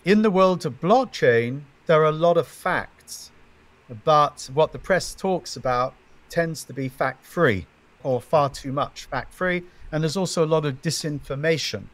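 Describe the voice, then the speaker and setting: A middle-aged man speaking as a venture investor guest, giving measured, emphatic interview answers and listing his points in threes. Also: a little high.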